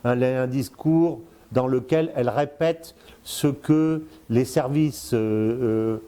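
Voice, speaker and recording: An older man in his sixties gives his commentary at length, speaking straight to the listener. His voice is mellow, and his flow keeps breaking on fillers and repeated words before he picks the thread back up. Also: medium pitch, slow. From born in Congo, lived in Africa, Spain, Brazil. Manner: explains at length, addresses the listener directly. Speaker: strategic affairs commentator, guest. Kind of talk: casual spoken commentary.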